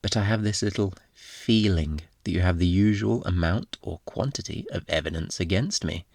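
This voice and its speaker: Man playing a cocky flirtatious thief, low-voiced. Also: moderate pace, vocal fry, a little mellow, no echo, studio quality. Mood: sarcastic, cheeky. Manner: teasing, theatrical, sarcastic, swooping into mock quotes. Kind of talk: ASMR roleplay acting.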